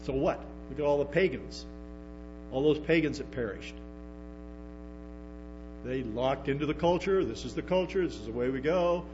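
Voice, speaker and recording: A man's voice: mid-range voice, moderate pace, long pauses. A man preaching a sermon to a congregation, stumbling through restarts and tossing in emphatic asides. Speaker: pastor. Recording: dull lo-fi sermon recording with music and hum.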